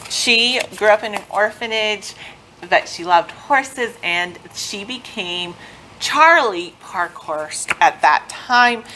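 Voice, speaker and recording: Bright-voiced woman giving a casual update. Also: fairly low pitch, poor audio. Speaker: homeschooling mother, video host. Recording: noticeable background noise.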